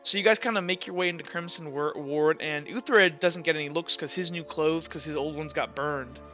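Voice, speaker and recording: A man's voice: fairly high pitch, fairly quick, pushed and bright. A man improvising narration as game master of a tabletop roleplaying game, casual and hesitant, with lots of filler words. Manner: casual, hesitant, loosely improvised delivery with frequent "like" and "kinda". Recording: dull lo-fi audio with music behind. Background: background music.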